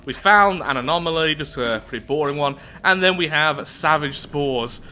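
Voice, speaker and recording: Bright-voiced man commentating on a game. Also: on the high side, moderate pace. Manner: thinks aloud, self-critical asides. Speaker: gaming video host. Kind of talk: game playthrough commentary mixing read-aloud story text and casual asides. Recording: music playing behind the voice. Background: background game music.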